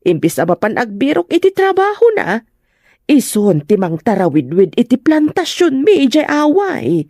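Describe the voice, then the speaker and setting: Audio drama casting: plaintive village mother played by a woman, proud and hurt, measured delivery, northern Philippine accent, dry recording. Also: fairly high pitch, big pitch swings, dark tone, no echo. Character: proud, wounded village mother of grown children.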